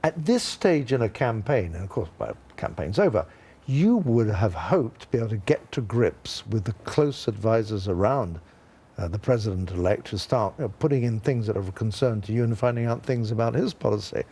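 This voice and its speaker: A man's voice: fairly low pitch, animated, a little fast, mellow, a little hiss or hum. An older British man giving expert commentary on a news panel, with wry, blunt, colloquial asides. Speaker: former ambassador, studio guest.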